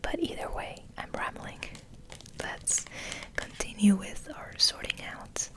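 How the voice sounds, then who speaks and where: A woman hosting ASMR, rambling in a soft, breathy, hesitant whisper. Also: slow pace. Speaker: ASMR video host.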